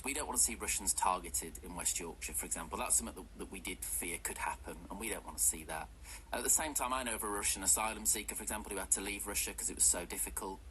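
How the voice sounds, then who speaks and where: Deep, fast-talking earnest man. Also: pushed and bright, slight background noise, poor audio. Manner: earnest, frequent restarts and repetitions. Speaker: charity project manager, guest. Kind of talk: radio interview answers. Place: radio studio.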